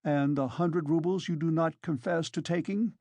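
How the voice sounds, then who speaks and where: A narrator voicing a pompous, self-important gentleman in an audiobook, formal, measured and insistent in his reproach. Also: mid-range voice, lively intonation, breathy edge, no room sound, voice pushed up. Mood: reproachful. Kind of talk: dramatised audiobook reading.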